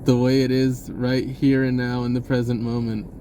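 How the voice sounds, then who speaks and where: A man giving a rambling, casual spiritual monologue in a halting, informal and blunt way, swearing at times. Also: medium pitch, completely flat delivery, mellow, a little hiss or hum. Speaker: vlogger speaking a personal message.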